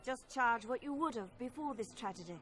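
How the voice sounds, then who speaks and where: Stern high-voiced priestess. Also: female voice, animated, fairly quick, fairly bright tone. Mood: righteous indignation. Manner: stern, accusing, formal. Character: righteous, indignant priestess defending desperate villagers. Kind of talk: video game cutscene acting.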